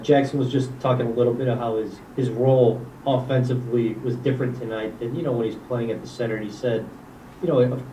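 Mellow-voiced man reporter, far and echoey. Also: mid-range voice, slight background noise. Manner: rambling, hesitant questioning. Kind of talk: press conference question over video call. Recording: distant, echoey room audio.

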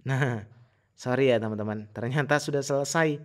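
A man giving a casual, informal software tutorial in an explanatory way. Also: medium pitch, normal speed. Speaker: tutorial host.

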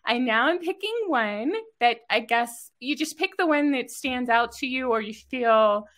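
Higher-voiced woman hosting a casual interview. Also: measured pace, a bit bright. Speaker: interviewer, host. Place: home room with bookshelves, indoors.

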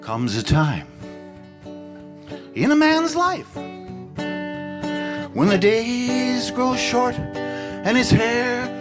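An older man, a singer-songwriter, singing his own songs into a stand mic on a small cafe stage, with soft, halting spoken introductions between songs. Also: extremely high pitch, big pitch swings. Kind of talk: singing original songs with acoustic guitar. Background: his own acoustic guitar.

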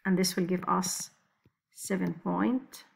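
A woman's voice: lowish voice, fairly even intonation, creaky, husky. A woman teaching physics, talking step by step through exam problems over a screen recording, with frequent restarts. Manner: slow, step-by-step explaining with restarts.

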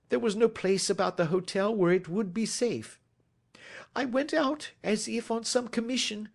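A man's voice: high-pitched, creaky, pitched above the performer's own voice. A man voicing a frightened, cringing small-time thief in a detective audiobook, pleading and servile, with a cracking voice. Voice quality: cracking, shrieking when desperate. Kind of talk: classic detective audiobook character acting.

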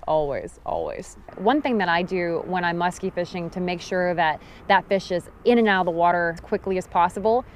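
A woman's voice: lowish voice, a little hiss or hum. Woman vlogging outdoors on a riverbank. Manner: friendly, practical, emphatic on safety rules. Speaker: fishing vlogger. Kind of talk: casual how-to talk to camera. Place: outdoors on a river gravel bank. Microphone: action camera held at arm's length.

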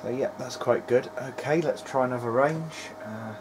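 Man muttering at a noisy workbench. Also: mid-range voice. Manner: muttering to himself in short, halting remarks. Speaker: hobbyist presenter of a video diary. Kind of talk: casual running commentary while testing electronics. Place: small cluttered indoor electronics workbench. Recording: clear background noise in workshop.

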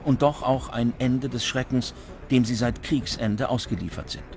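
A man's voice: medium pitch, steady tone, moderate pace. A man reading scripted documentary narration as narrator. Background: music under the voice.

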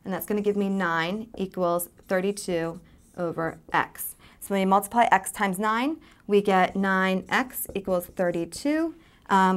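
A woman's voice: mid-range voice, clear, resonant tone. Woman teaching chemistry at a whiteboard. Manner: friendly, explains step by step with many fillers. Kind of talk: whiteboard lesson. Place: studio with whiteboard, indoors. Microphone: lapel mic.

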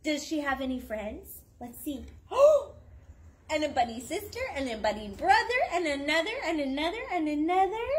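A young woman doing an animated picture-book read-aloud for her toddler, playful, sing-song and encouraging, chatting to the child as she goes. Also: high voice, moderate pace. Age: young adult. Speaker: mother reading storytime to her toddler. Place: outdoor backyard patio. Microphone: camera a few feet away.

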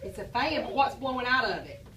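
A woman's voice: on the high side, expressive, fairly quick, fairly bright tone, a little hiss or hum. A woman teacher, quizzing and praising a class of young children after reading aloud. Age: adult. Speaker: preschool teacher. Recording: echoey classroom from far off.

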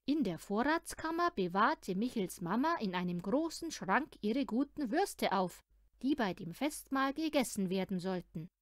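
A woman's voice: fairly high pitch, expressive, measured pace, no room sound, studio quality. A woman narrating a children's story from a script, expressively and as if speaking to a young listener. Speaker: audiobook reader.

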